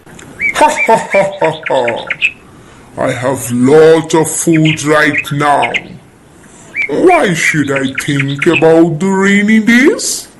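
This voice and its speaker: A storybook grasshopper for small children, played by a woman, speaks at a very slow, drawn-out pace. The pitch is mid-range, and there is clear background noise with chirping birds and insects. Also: very animated, full character voice. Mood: mocking. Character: carefree lazy male grasshopper, later pleading and humbled. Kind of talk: children's puppet show storytelling.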